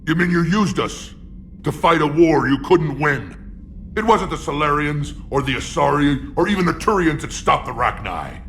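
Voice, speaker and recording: A battle-hardened alien clan chief for a cinematic game. The voice is deep and full of gravel, swinging widely as he pushes his point. He is proud, blunt and combative, over a slightly noisy ambience. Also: lively intonation, normal speed, a bit bright. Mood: accusing. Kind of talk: cinematic video game acting.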